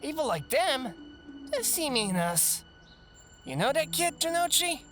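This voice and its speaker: Woman as a sneering, high-voiced gang punk. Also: big pitch swings, measured pace, over music. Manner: taunting, needling. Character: sneering, spiteful teenage gang punk, fawning to the boss. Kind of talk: audio drama voice acting.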